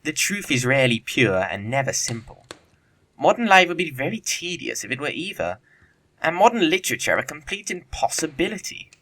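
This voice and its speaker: A man's voice: a little high, very animated, fairly quick, sharp tone, exaggerated voice. A man playing a flippant, witty young upper-class bachelor in a period comedy audio play, with an arch, theatrical delivery. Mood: smug, witty.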